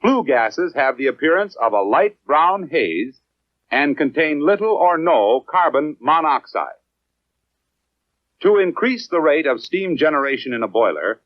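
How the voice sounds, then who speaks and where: American man, scripted instructional narration, measured and clearly enunciated, dry close sound, dull 1950s film soundtrack. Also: fairly high pitch, no room sound.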